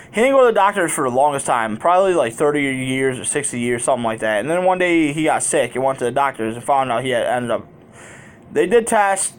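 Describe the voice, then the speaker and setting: A man vlogging in a candid, colloquial way, rambling through personal stories as he talks straight to his viewers. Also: on the high side. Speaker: YouTube vlogger. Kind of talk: casual personal storytelling to viewers.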